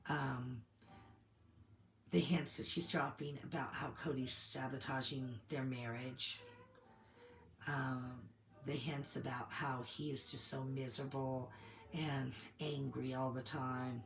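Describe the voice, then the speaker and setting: A woman co-hosting a commentary show, getting over a cold and slightly hoarse, giving her opinions in a measured, thinking-aloud way. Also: extremely low pitch, flat delivery, roomy sound, a little hiss or hum, rough recording. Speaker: co-host of a TV commentary channel.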